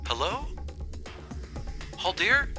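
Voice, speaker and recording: A man's voice: sits high, sing-song, drawn-out words, over loud music, exaggerated voice. A man voicing an upbeat, frank female spirit who speaks through phones in a tabletop game, with a breezy, slightly hesitant manner. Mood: cheerful greeting.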